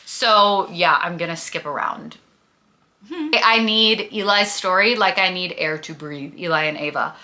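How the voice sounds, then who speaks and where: A woman's voice: lowish voice, unhurried, pushed and bright. A young adult woman with a slight lisp, chatting casually and emphatically about books to camera in a gushing, dramatic way. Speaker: book vlogger and host.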